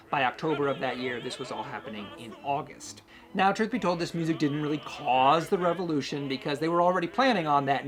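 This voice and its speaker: A man hosting a music talk, telling history stories casually and animatedly, acting out short quotes as he goes. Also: fairly high pitch. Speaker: host, music educator and conductor. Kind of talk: casual storytelling about classical music history.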